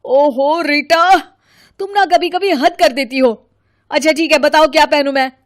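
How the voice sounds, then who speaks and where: A woman's voice: sits high, a little slow, voice pushed up. A woman voicing a mild husband in a cartoon story, reasoning and protesting before giving in. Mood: exasperated. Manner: reasoning, exasperated.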